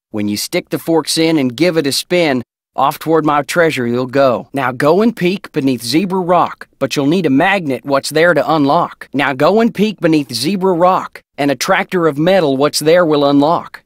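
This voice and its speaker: A lovestruck Old West outlaw played by a man for a video game, with a fairly high male voice. He reads his letters in an earnest, folksy, deliberate manner. The sound is dry and close. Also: no echo, natural voice. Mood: instructive, measured. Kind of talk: acted reading of love letters.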